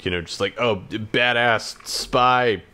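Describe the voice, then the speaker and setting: A man's voice: medium pitch, slow pace, sharp tone, clean tone. A man co-hosting a podcast in casual chat, speaking in a hesitant, rambling, hedging way. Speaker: podcast co-host.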